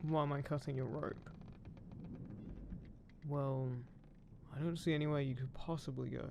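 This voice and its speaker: A man playing a roguish, smug, gruffly caring undead pirate captain in a roleplay audio drama, teasing and menacing, speaking unhurriedly to the listener. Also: mid-range voice, fairly even intonation, moderate pace, noisy background, close to an everyday voice. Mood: cool, taunting. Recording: constant ship creaking ambience behind voice. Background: creaking wooden ship sound effects.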